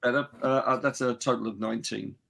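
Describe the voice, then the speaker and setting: A man's voice: mid-range voice, fairly even intonation, fast talker, gravelly, fairly bright tone, slight background noise. A man talking casually as a player in a tabletop game, explaining his moves.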